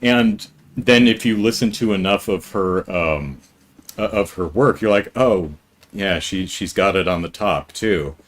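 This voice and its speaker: A man hosting a live podcast, asking questions in a rambling way with many pauses and restarts. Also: low voice, a little hiss or hum. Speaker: podcast host. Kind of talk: casual live-stream interview.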